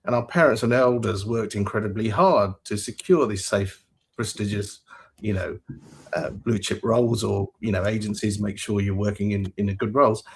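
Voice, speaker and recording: A man's voice: on the low side, steady tone, normal speed, creaky, slight background noise. A man speaking as a panelist. He talks hesitantly and fills his speech with "you know". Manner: hesitant, heavy with fillers. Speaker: panelist, founder. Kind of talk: panel discussion.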